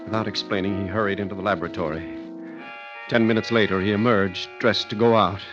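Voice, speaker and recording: An actor playing a killer's nervous, hesitant brother who also narrates an old-time radio thriller. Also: male voice, fairly low pitch, steady tone, fast talker, natural voice. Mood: grave, tense. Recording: muffled vintage radio recording with music.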